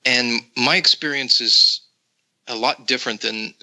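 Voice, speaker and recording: A man from the Netherlands speaks as a guest on a podcast. His voice is very bright and forceful and sits in a middle pitch. He recounts his stories in a relaxed, casual way, with frequent pauses. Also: low-quality recording.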